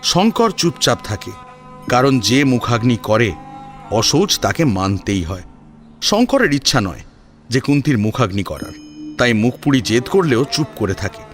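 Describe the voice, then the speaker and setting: A man narrating a scripted dramatic audio story in an expressive, emphatic manner. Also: medium pitch, normal speed, faint music behind.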